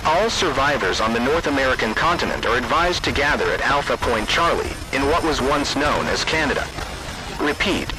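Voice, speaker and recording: A man reading scripted emergency alert announcements in a formal, deliberate manner. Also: mid-range voice, low-quality recording. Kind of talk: scripted emergency broadcast narration.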